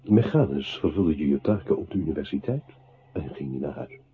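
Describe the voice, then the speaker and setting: Deep intense male narrator, very animated swings, radio play, hissy phone-like recording. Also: a little fast. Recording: muffled, noisy phone-like old radio recording.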